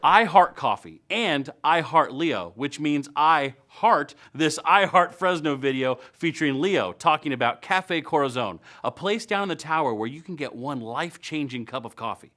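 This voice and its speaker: A man's voice: fairly high pitch, animated, normal speed, hardly any pauses, bright, forceful tone. An American man in his thirties presenting a scripted show to camera with wry, playful asides. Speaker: web series host. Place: indoor studio.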